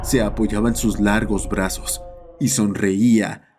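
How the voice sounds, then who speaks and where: A man's voice: fairly low pitch, steady tone, unhurried, background noise. A man reading a scripted horror story as its narrator.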